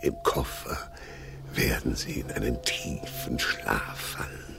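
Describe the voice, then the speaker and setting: An actor playing a deranged, vain theatre director and hypnotist in a radio crime drama, with a commanding, theatrical delivery. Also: male voice, rumbling low, slow, creaky, music in the background, heavily acted. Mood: hypnotic, commanding.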